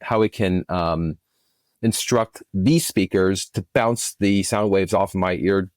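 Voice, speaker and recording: A man's voice: sits low, dry recording. A man giving enthusiastic explanations as an interview guest, often restarting his phrases as he goes. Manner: enthusiastic, halting with repeated starts. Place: remote recording over internet software.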